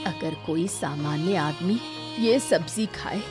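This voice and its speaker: A woman's voice: low-pitched, slow pace, music in the background. A woman playing a worried, shrewd old village mother in a moral-story cartoon. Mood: worried, suspicious. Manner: lamenting, suspicious.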